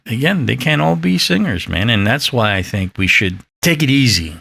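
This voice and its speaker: A man's voice: medium pitch, sing-song, moderate pace, gravelly, sharp tone, breathy edge. A man in his fifties hosting a podcast, rambling in a casual, profane and emphatic way. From Mexican heritage. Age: 50s. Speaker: podcast host. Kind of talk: casual solo podcast talk.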